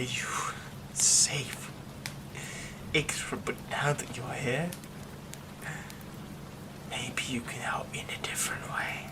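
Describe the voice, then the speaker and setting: A man voicing a menacing yandere werewolf who is an old childhood friend, in an ASMR roleplay, talking in slow, soft, threatening whispers. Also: medium pitch, lightly acted, low-quality recording.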